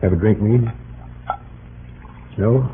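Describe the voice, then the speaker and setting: Forthright general on tinny old radio. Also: male voice, lowish voice, drawn-out words, slight background noise. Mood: warm admiration. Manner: formal, earnest, direct. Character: General Grant, loyal, forthright, soldierly middle-aged commander. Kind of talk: old-time radio drama acting.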